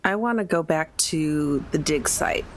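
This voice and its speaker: A woman's voice: sits low, a little slow, poor audio. A woman playing a tabletop game, saying plainly and directly what her character wants to do. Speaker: player. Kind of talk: casual game talk.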